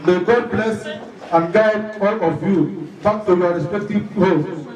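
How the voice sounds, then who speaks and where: A man gives a formal ceremonial speech as a community leader, declaiming solemnly in deliberate short phrases. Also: sits high, a little mellow. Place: echoey gathering before an audience. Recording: echoey, far from mic, crowd noise.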